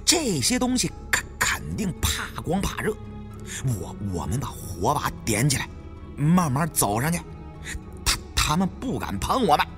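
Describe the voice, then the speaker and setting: A man's voice: a little high, huge pitch swings, a little slow, over music. A man voicing a stuttering male tomb raider sidekick in an audiobook, repeating syllables as he talks. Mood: urgent, reassuring.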